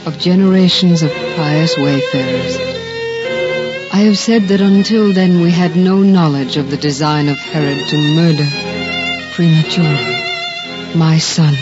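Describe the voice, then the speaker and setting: An actress playing a gentle, devout young mother from the Bible, narrating in a solemn, measured, formal way for a 1950s radio drama. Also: deep voice. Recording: dull 1950s radio recording, music behind.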